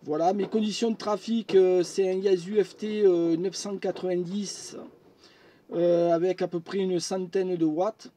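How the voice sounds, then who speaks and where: Man, high mellow voice, friendly radio operator, casual chat, clear background noise. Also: fairly even intonation, unhurried. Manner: friendly, chatty. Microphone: studio microphone at the radio.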